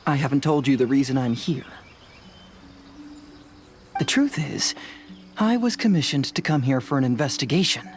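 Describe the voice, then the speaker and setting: Composed investigator with lively intonation. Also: female voice, a bass voice, faint music behind. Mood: curious, businesslike. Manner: measured, explanatory, self-assured. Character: composed, analytical investigator in a fantasy game. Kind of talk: animated game dialogue acting.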